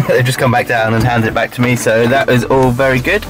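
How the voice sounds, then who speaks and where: Casual British male vlogger, middle-aged, mid-pitched voice, recorded outdoors under prominent music. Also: level tone, brisk. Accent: British English. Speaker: vlogger reviewing walkie-talkies. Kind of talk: casual outdoor vlog chat. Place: outdoors, windy.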